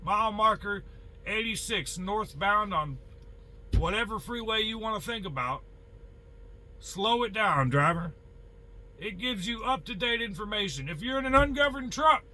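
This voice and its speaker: An American man with a high, very bright and forceful voice speaks like a working trucker giving advice. He is blunt and folksy, casually opinionated, with mild cursing and questions thrown at the listener. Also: slight background noise. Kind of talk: casual opinionated monologue to viewers.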